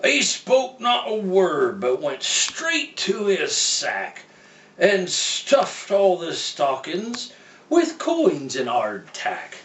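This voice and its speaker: A man's voice: high voice, wildly swooping pitch, drawn-out words, a bit bright, a little hiss or hum, full character voice. A man voicing a jolly old pirate, Santa's seafaring cousin, reading a storybook aloud in folksy pirate slang, tagging his lines with "eh?". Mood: storytelling. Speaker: storybook reader. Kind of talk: in-character read-aloud. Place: room, reading to a computer. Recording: roomy, mic at a distance.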